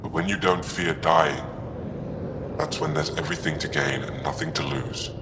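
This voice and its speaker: A fanatical male alien warrior leader in a sci-fi game, grave and unafraid. The voice is very low and full of creak, with long reverb around it. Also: sing-song, moderate pace, exaggerated voice. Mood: scornful, resolute. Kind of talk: video game voice acting. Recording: long reverb, clear background noise.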